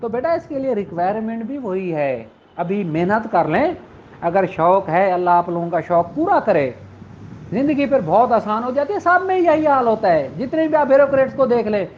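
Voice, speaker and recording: A man giving a slow, deliberate classroom law lecture, addressing his exam students in a fatherly way. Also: high voice, big pitch swings. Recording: muffled lo-fi recording, faint noise.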